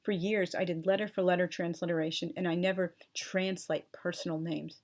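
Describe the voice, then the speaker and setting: A woman's voice: lowish voice, steady tone, brisk, darkish tone. A young adult woman explaining a topic at length in an earnest, precise way, spelling words out letter by letter, with chatty asides and fillers. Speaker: channel host explaining language. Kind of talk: talking-head explainer, partly read from notes.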